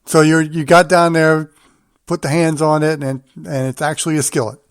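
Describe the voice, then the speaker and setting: A man's voice: a little high, little pitch movement, moderate pace, clean tone, no room sound, studio quality. A man hosting a sports talk show, interviewing guests in a conversational way.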